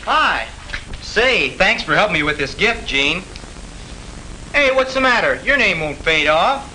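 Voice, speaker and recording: Bright, lively 1950s high school boy. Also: a little slow, heavily acted. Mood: friendly concern. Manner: casual, chatty, old-fashioned slang. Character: breezy, good-natured high school boy. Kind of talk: 1950s educational film acting. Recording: noisy 1950s film soundtrack.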